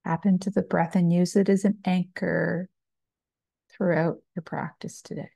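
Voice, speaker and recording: Slow, gravelly woman guiding meditation. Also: fairly low pitch, no echo. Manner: calm, soothing, unhurried, long pauses between short phrases. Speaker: yoga and meditation teacher. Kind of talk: slow guided meditation, body scan narration.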